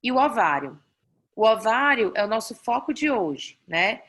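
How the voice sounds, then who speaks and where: A woman's voice: on the high side, very animated, normal speed, fairly bright tone, slightly rough. A woman doctor with a Brazilian Portuguese accent giving an online class, explaining slides step by step and often checking in with "né?". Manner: explanatory, frequent "né?" tags and restarts. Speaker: doctor teaching a fertility class. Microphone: video call.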